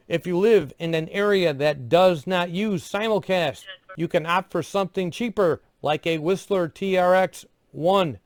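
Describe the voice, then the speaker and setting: High-voiced man narrating a dry review. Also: dry recording. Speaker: product reviewer. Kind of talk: conversational scripted review narration.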